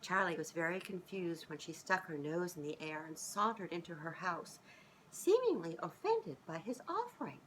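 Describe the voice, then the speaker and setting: A middle-aged woman reading a children's storybook aloud in an expressive, sing-song way, as if speaking directly to young listeners. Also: fairly low pitch, moderate pace, slight background noise, rough recording. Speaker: children's author reading her own book aloud. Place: small living room, on a couch indoors.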